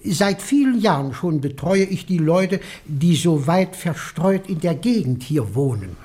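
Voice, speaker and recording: An actor playing an elderly, hesitant, absent-minded English country priest in a German radio drama. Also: male voice, on the high side, expressive, unhurried, mellow. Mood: courteous, fussy. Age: older. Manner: hesitant, rambling, repeats himself, absent-minded.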